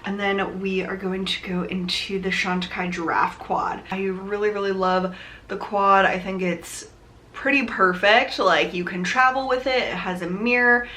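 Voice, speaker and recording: A woman's voice: mid-range voice, fairly even intonation, normal speed, sharp tone, a little hiss or hum. A young woman with a slightly nasal, allergy-stuffed voice, chatting casually through a makeup tutorial with lots of "like" and "um". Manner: chatty, rambling with many "like" and "um" fillers. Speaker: beauty vlogger.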